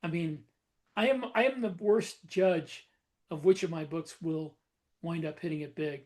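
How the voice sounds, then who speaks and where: High-voiced, self-deprecating man. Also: a little slow, darkish tone. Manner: self-deprecating, hesitant restarts. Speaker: podcast co-host, author. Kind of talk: casual podcast chat.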